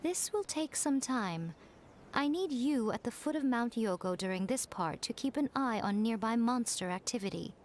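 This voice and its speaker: A woman voicing a composed, teasing fox-spirit shrine priestess in a video game, speaking in a calm, measured way. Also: a little high, a little hiss or hum, close to an everyday voice. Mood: instructing. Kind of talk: game dialogue.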